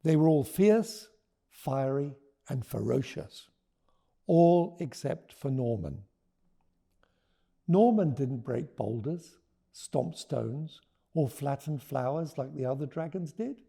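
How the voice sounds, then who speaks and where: A man reading a children's storybook aloud and talking about it, with deliberate, expressive phrasing. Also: mid-range voice, vocal fry, slightly rough. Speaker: children's author reading his book aloud. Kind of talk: expressive storybook read-aloud with teaching notes.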